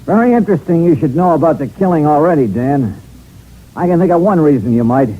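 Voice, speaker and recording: Lively radio detective, old broadcast sound. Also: male voice, a little high, brisk, phone-quality sound. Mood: accusing. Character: sharp, probing male insurance investigator, wry and persistent. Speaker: lead actor and narrator. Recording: band-limited old radio broadcast, music underneath.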